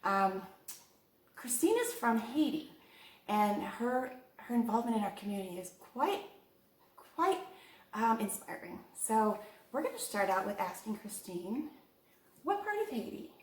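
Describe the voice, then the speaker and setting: A woman's voice: on the high side, sing-song, a little slow, slight background noise. A woman hosting an interview show, chatty and upbeat, laughing easily with her guest. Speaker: host. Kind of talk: casual interview talk. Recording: roomy sound from a distance, faint ambience.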